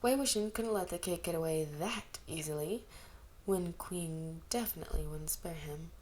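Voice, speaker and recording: A woman's voice: fairly low pitch, normal speed, a little mellow, a little hiss or hum. A woman narrating a piece of fan fiction as an amateur reader, occasionally stumbling over the text.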